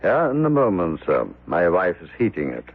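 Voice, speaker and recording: Husky, formal, anxious manservant. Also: male voice, low voice, huge pitch swings, normal speed, heavily acted. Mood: deferential. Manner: formal, polite. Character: deferential, anxious manservant, devoted husband. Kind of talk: radio drama acting. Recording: thin, phone-like radio drama audio.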